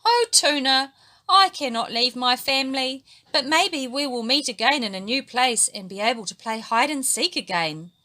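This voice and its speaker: A woman's voice: high voice, lively intonation, normal speed, sharp tone, pitched above the performer's own voice. A woman doing an eager little flounder. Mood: earnest. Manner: eager, pleading.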